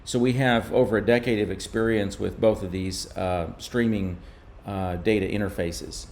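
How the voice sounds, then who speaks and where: Low-pitched man presenting, with background noise. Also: steady tone, moderate pace, gravelly, a little mellow. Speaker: presenter from a radio maker. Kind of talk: technical slide presentation, explanatory.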